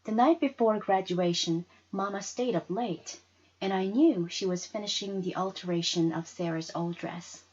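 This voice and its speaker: A woman reading a story aloud with expressive narration. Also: medium pitch, moderate pace, breathy edge, muffled recording. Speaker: story reader.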